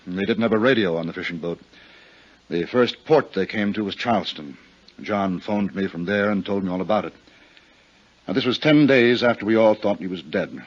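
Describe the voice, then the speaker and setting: An American actor playing a bitter, lovesick middle-aged murderer, speaking earnestly and haltingly in a 1950s radio drama. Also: male voice, lowish voice, dry recording, close to an everyday voice. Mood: bitter confession. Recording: muffled 1950s radio recording.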